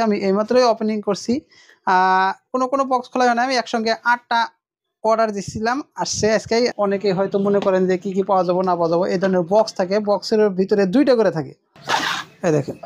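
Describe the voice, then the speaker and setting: A woman presents a casual unboxing demonstration, explaining informally and repeating her points. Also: medium pitch.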